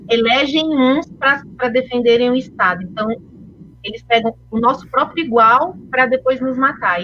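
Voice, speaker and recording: A woman's voice: fairly high pitch, fairly bright tone, dull audio, a little hiss or hum. A Brazilian woman speaking earnestly and with feeling in a panel discussion. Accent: Brazilian Portuguese. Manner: earnest, emotional, recommends readings.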